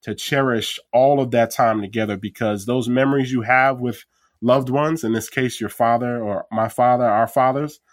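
A man's voice: mid-range voice, steady tone, normal speed, no room sound. A man reflecting in a heartfelt way on memories of his late father. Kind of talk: personal reflection.

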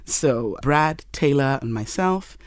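A man's voice: mid-range voice, animated, dry recording. Earnest man, dry close sound. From Nigeria. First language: Yoruba. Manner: earnest, measured storyteller. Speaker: interview guest. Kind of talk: personal storytelling in an interview.

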